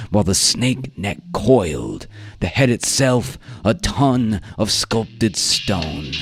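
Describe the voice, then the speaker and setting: Deep, gravelly, slow male dramatic narrator. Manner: theatrical, deliberate. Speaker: narrator and performer of radio drama. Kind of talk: dramatic live story narration. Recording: live performance with music bed. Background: plucked guitar music.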